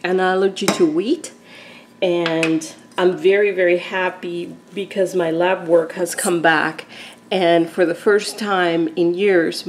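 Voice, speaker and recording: Lowish-voiced woman from argentina chatting thru a recipe at home, faint pans clinking. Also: slight background noise. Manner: chatty, explains steps as she cooks. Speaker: cooking vlogger. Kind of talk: casual cooking demonstration. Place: home kitchen.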